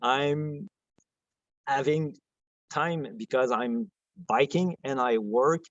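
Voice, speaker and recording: A man with a French Canadian accent, a podcast guest talking in a soft, hesitant way, full of restarts and fillers. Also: medium pitch, slow pace, no room sound, dull audio. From Quebec, Canada. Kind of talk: casual interview chat.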